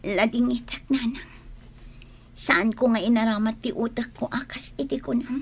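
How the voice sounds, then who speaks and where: A woman's voice: on the high side, fairly even intonation, normal speed, breathy edge. A woman with an Ilocano accent playing a bitter, scolding grown daughter in a radio drama. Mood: resentful.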